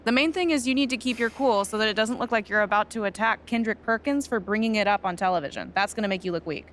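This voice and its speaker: A woman's voice: mid-range voice, quick pace, a bit bright, lightly acted. Brief: no-nonsense female agent, played by an actress, clipped and impatient, natural game cutscene delivery, faint noise behind. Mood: firm. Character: brisk, no-nonsense female sports agent, bossy and sardonic.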